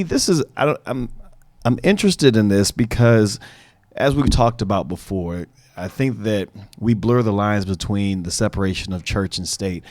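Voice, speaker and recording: Low-voiced male podcast host. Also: darkish tone, no room sound, clean studio sound. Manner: conversational, with frequent fillers and restarts. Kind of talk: casual legal discussion podcast.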